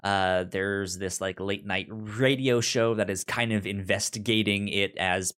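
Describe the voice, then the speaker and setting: Halting, stammering man chatting casually. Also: lowish voice, steady tone, fairly bright tone. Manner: halting, stammering, repeats words and trails off. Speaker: podcast co-host. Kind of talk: casual film discussion chat.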